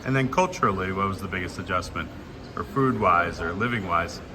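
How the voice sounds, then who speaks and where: A man interviewing a basketball recruit, asking brisk, direct questions. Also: deep voice, noisy background.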